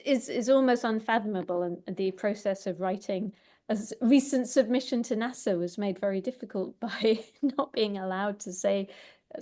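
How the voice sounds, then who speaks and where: A woman's voice: medium pitch, dark tone. Hesitant woman moderating a discussion. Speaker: host and moderator of an online talk. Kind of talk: thoughtful informal moderating.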